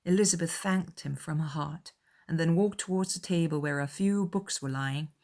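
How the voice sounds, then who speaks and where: A woman's voice: deep voice, normal speed, a little mellow. A woman narrating a classic novel as a scripted audiobook.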